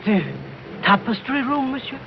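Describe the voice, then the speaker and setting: A woman's voice: sits high, normal speed, lightly acted. Woman acting a formal French housekeeper, muffled radio. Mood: formal, uneasy. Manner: formal, deferential, clipped. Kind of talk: radio horror drama acting. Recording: muffled old radio with clear noise.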